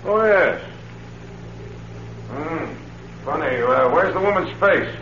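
Shrewd police captain, highish pitch, folksy needling manner, 1940s radio acting, hissy vintage recording. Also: male voice, expressive, slow pace, reverberant, muffled recording. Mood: sly, amused. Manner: casually insinuating, pressing questioner.

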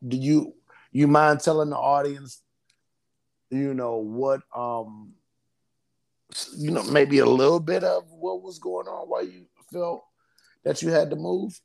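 Gravelly laid-back podcast host. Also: male voice, on the high side, fairly even intonation, unhurried, pauses often, darkish tone, low-quality recording. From Cleveland, Ohio. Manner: laid-back, slangy, many restarts. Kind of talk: casual interview chat.

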